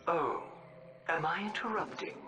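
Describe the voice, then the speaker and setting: An actor voicing a dry, deferential male robot butler, with loud game music behind. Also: fairly high pitch, unhurried, close to an everyday voice. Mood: deadpan.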